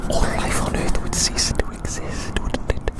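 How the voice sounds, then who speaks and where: Very soft, slow ASMR whisper. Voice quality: soft, breathy unvoiced whisper. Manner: slow, repeats phrases, gently teasing. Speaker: ASMR video creator. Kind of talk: ASMR whispered ramble to the listener as a little creature. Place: outdoors in a windy city park. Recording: close whisper, windy outdoor recording.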